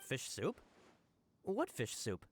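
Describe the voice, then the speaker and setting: A man playing an earnest, anxious subordinate in a video game, deferential and emphatic, quick to apologise or defend himself. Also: medium pitch, huge pitch swings, slight background noise. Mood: flustered denial.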